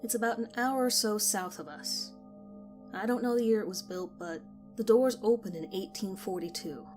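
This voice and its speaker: A woman voicing a guarded, blunt, sharp-tongued teenage girl in a horror story reading. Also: medium pitch, moderate pace, soft background music, pitched above the performer's own voice, lightly acted. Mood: matter-of-fact. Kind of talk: acted dialogue in horror audiobook.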